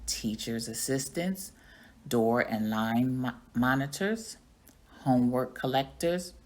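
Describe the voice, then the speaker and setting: A woman playing a small, curious classroom hamster from a children's storybook, earnest, chatty and expressive. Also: very deep voice, slow pace. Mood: eager, helpful.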